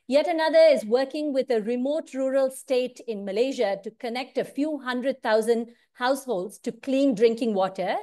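A woman in her forties, originally from India, a managing partner speaking earnestly about her work, often ending points with "right?", recorded through a wired earphone mic on a video call from a room at home. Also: fairly high pitch. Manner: earnest, animated, often tags lines with "right?". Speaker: consulting firm managing partner. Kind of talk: direct-to-camera talk on career and work.

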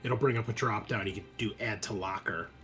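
A man's voice: lowish voice, a little fast, sharp tone, soft background music. A man acting as game master, casually narrating and explaining things to players.

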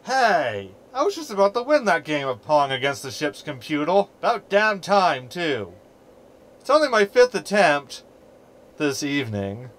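Snarky hologram sidekick , male actor, sci-fi audio comedy. Verbose, glib, sardonic; higher-set voice with lots of swing. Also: big pitch swings, a little slow, a bit bright. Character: snarky, meddling male hologram ship companion. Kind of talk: sci-fi sitcom audio drama acting.

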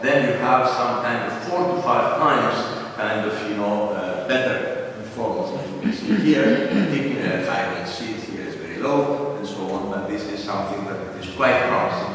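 A man's voice: on the low side, fairly bright tone, slight background noise. An older man giving a keynote lecture at a conference, speaking at a podium in a large auditorium and padding his sentences with "kind of" and "you know". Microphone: podium microphone heard from far off. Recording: echoey hall, distant mic.

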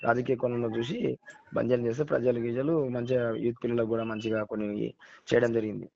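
A man's voice: mid-range voice, level tone, a little fast, creaky, dark tone, no echo. A man with a Telangana Telugu accent talking casually and earnestly as a caller, often repeating words and phrases. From Telangana, India. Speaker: caller, local voter. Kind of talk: casual phone chat. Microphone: phone call. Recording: dull, band-limited phone call audio.

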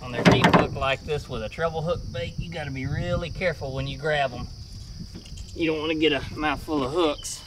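Man, fishing vlogger; relaxed plain-spoken tips, slightly high voice, distant outdoor mic with noise. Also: fairly quick. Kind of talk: casual outdoor how-to talk. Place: outdoors in a marsh, on a boat.

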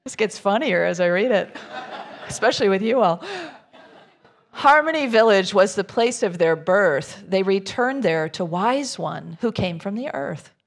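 A woman's voice: medium pitch, animated, a little slow. A middle-aged woman minister reading a rhyming children's story aloud, expressively, with informal asides to her listeners.

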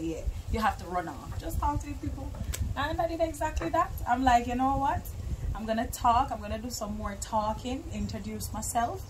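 A young adult woman with a Jamaican accent, chatting in an animated, informal way as a musician being interviewed. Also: sits high, noisy background. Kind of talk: casual interview chat. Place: outdoors on a porch by a garden.

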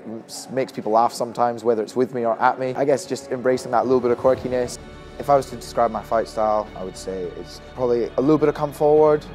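A young man raised in Australia, talks quickly and casually as a fighter being interviewed in a gym. He rattles on with plenty of fillers and restarts, and music plays clearly under him. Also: medium pitch. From Scotland. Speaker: Muay Thai fighter and coach. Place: boxing gym, indoors.

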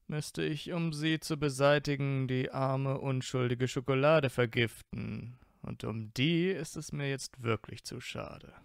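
A man's voice: medium pitch, steady tone, a little slow, creaky, few pauses, smooth, no echo, clean studio sound. A man playing a teasing, mock-haughty teenage wizard boy in a fan audio story, with drawling banter. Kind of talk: fan audiobook character acting.

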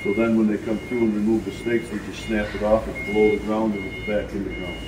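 A man's voice: fairly low pitch, little pitch movement, quick pace. A middle-aged, plain-spoken man casually explaining practical shop know-how as an equipment tester. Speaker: sawmill factory worker and equipment tester. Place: workshop and outdoor yard. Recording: distant noisy on-location camera audio.

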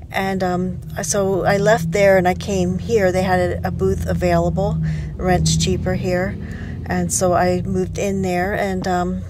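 A woman's voice: medium pitch, noisy background. A woman in her fifties shows her antique booth items, chatting casually with frequent ums and self-corrections. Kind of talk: casual show-and-tell vlog. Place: living room, then car and antique mall.

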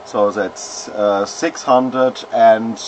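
A man, an amateur radio operator showing his homebrew gear at home, pausing often and searching for words. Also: fairly low pitch, steady tone, very noisy. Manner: halting, many fillers, searching for words. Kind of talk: casual equipment demo. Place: home radio shack, indoors. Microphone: handheld camera.

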